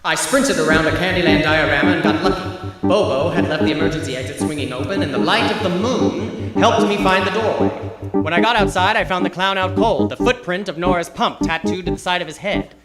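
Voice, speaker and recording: A man's voice: high-pitched, fairly quick, loud music behind the voice, natural voice. A man playing a wry, hardboiled library detective, narrating and acting in a live radio noir spoof. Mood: wry, reflective. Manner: wry, deadpan hardboiled storytelling. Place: theater stage.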